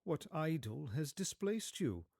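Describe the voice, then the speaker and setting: A man's voice: a little high, sing-song, slow, gravelly, a little mellow, dry recording, studio quality, pitched above the performer's own voice, full character voice. A man playing an impatient, defensive, worldly man in his prime, answering in clipped retorts in a dramatized reading. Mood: bitter.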